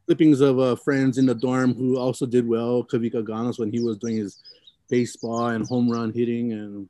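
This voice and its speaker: A man's voice: mid-range voice, level tone, moderate pace, mellow. A middle-aged man from Hawaii, telling his life story in an oral history interview, rambling and reflective with many fillers and restarts.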